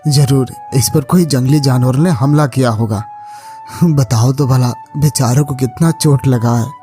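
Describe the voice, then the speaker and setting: A man's voice: mid-range voice, unhurried, dark tone, faint music behind, close to an everyday voice. A man voicing a kind-hearted, caring village farmer in a cartoon story, earnest and exclamatory. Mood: concerned, pitying. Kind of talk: Hindi cartoon moral story acting.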